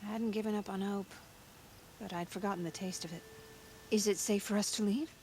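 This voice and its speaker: An actress playing a weary wife and mother freed from captivity in a video game, speaking in a subdued, wistful, halting way. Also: mid-range voice, fairly even intonation, darkish tone, a little hiss or hum, lightly acted, rough recording. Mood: wary hope.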